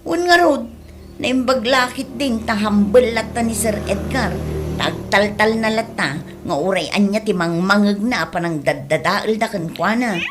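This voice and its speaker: A woman's voice: mid-range voice, measured pace, background noise, close to an everyday voice. A woman acting a gossipy village neighbour in an Ilocano comedy radio drama, chattily passing on news. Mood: gossipy, concerned.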